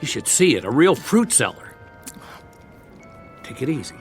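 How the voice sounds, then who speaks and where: An actor playing a weary, wry American ex-soldier, plain-spoken and coaxing, in a radio drama. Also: male voice, mid-range voice, very animated, normal speed, faint music behind, close to an everyday voice. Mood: coaxing, gentle. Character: weary, wry male ex-soldier surviving after war.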